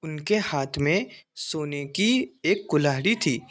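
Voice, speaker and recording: A man's voice: on the high side, expressive, slow pace. A man narrating a scripted children's folktale as the storyteller.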